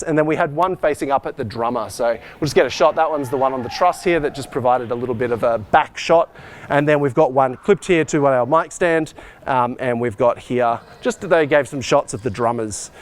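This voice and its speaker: A man's voice: a little high, a little hiss or hum. A man speaking casually and unscripted as he shows people around a school's technical setup, explaining things in a practical way like a department head leading a tour. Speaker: school arts and IT department head giving a behind-the-scenes tour. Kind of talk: informal walk-through technical tour, explaining gear. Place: on the move through a school tech room and performance venue.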